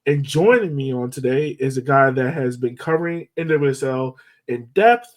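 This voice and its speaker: A man's voice: mid-range voice, moderate pace. Podcast host: American male, mid-range voice, informal sports chat delivery.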